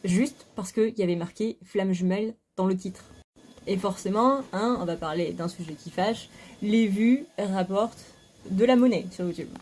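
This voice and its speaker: A woman's voice: mid-range voice, a little mellow, a little hiss or hum. A woman talking frankly to her viewers in a casual home vlog, full of fillers and restarts. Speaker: spiritual YouTuber. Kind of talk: casual talking-to-camera monologue. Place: home, vacuum cleaner running nearby.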